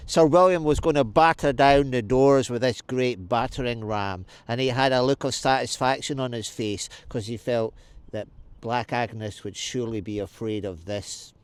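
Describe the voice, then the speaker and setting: A man's voice: medium pitch, moderate pace, clear, resonant tone. A man telling a story for children, stopping to ask and answer questions. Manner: explains as he tells, asks and answers questions.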